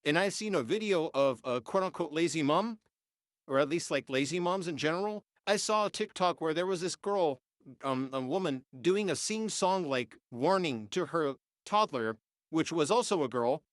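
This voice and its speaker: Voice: mid-range voice, expressive, moderate pace, no echo, studio quality. A nineteen-year-old vlogger, congested and sniffling, ranting heatedly and swearing through an AI voice filter. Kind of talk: unscripted rant.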